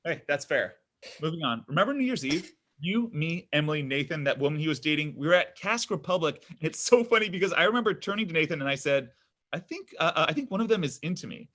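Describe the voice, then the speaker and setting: A man's voice: fairly high pitch, animated, fast talker, fairly bright tone. An actor at a table read playing a needy, pushy thirty-something man on a date, whiny, defensive and over-explaining. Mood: awkward, defensive. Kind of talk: table read of a comedy pilot.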